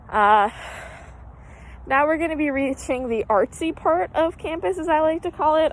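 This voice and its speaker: A woman's voice: a little high, rough recording. A young woman, a student tour guide, talking casually and cheerfully as she walks outdoors across a campus, with lots of fillers and self-corrections. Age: young adult. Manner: chatty, upbeat, many fillers and self-corrections. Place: outdoors on a college campus, near a highway.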